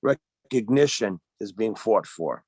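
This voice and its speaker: A man speaking as a union organizer on a video-call panel, halting and searching for words while losing his voice. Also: fairly low pitch, expressive, darkish tone, no room sound, studio quality. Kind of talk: panel remarks on a webinar.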